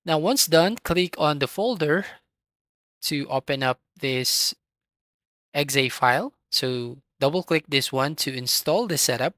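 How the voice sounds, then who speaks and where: A tutorial host giving step-by-step software instructions in an instructional manner, with a voice recorded close to the microphone. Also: mid-range voice, animated, measured pace, no room sound.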